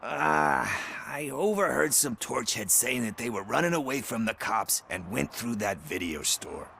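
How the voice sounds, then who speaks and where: A man playing a desperate, bitter junkie informant in a video game, rambling and pleading with rough language. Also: mid-range voice, sing-song, measured pace, sharp tone, slight background noise, heavily acted. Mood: bitter, defensive. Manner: rambling, foul-mouthed, pleading. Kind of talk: video game cutscene acting.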